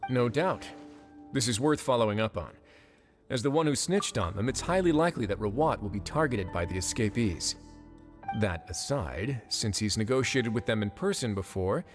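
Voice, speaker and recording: An actor playing a composed, wry prison warden who speaks calmly and decisively, from a video game. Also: male voice, mid-range voice, moderate pace, close to an everyday voice. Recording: game audio with faint music.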